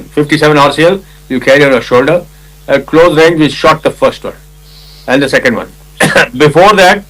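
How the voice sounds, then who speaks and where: An older Indian man, a retired army officer, recounting a battle in conversational detail, with a loud commanding voice, acting out quotes and often clearing his throat. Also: a little high, rough recording. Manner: loud commanding voice, vivid retelling with acted quotes. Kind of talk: conversational war storytelling.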